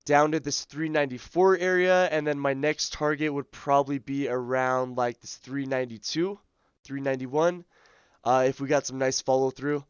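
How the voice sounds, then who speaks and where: A man hosting a live day-trading stream, his voice scratchy as he loses it, talking through charts in a relaxed way full of ums and uhs. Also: a little high, clean tone, no room sound, dull audio. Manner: relaxed, filler-heavy, thinking aloud. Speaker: day trading livestream host. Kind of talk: live market commentary.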